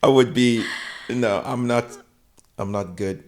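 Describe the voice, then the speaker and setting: A man's voice: medium pitch, slow. A man hosting a podcast, chatting in a playful way. He laughs easily and often restarts his sentences. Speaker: podcast host. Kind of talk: casual chat.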